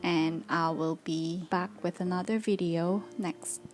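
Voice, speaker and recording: A woman's voice: fairly low pitch, fairly even intonation, measured pace. Korean-accented young woman vlogging. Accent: Korean, with Canadian and British traces. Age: 20s. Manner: candid, with loose non-native grammar. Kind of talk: casual vlog talk to camera.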